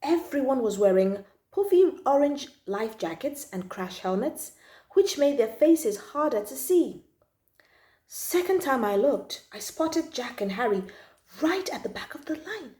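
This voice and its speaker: A woman reading a children's story aloud in an expressive, emphatic manner, drawing out key words and adding little asides to listeners. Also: sits high, a little slow, reverberant. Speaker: storytime channel host reading children's books.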